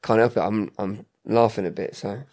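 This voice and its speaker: A man's voice: on the low side, fairly even intonation, normal speed, a little mellow. A man, a co-host who teases his friend and laughs often in a casual studio chat. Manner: teasing, laughs a lot. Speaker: podcast co-host. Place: wood-panelled study, indoors.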